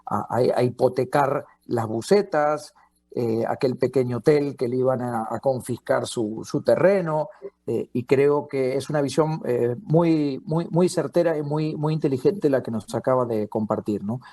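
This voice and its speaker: Man, moderator, mellow voice, dry sound, stumbles and repeats words. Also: medium pitch, measured pace, dry recording. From not Costa Rica. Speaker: forum moderator. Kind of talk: moderating a public talk.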